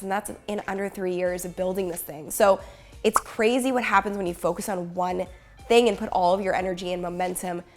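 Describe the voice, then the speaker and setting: A woman in her thirties with a hoarse voice from a cold, talking upbeat and chatty straight to her viewers in a home vlog. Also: mid-range voice. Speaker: YouTuber and business coach. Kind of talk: casual talking-head vlog.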